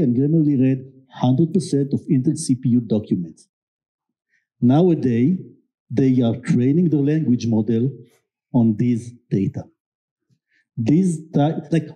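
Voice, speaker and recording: Man, Israeli accent, middle-aged, very dark timbre, candid self-deprecating storytelling, halting with fillers. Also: medium pitch, breathy edge, rough recording. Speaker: guest, security company executive. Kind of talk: storytelling on a panel. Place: event stage before an audience.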